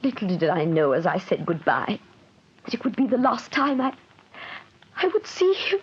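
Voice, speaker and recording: An actress playing a scheming widow who poses as a grieving wife, in 1950s radio drama style. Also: female voice, medium pitch, sing-song, normal speed, breathy edge. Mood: mournful. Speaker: claimant widow. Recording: muffled vintage radio transcription with hiss.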